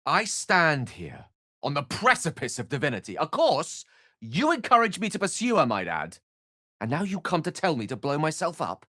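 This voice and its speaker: An actor playing an eloquent, theatrical wizard in a fantasy video game, learned, courtly and wry, with articulate, bookish phrasing. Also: male voice, on the high side, huge pitch swings, normal speed, pushed and bright, no room sound, studio quality, full character voice. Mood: indignant.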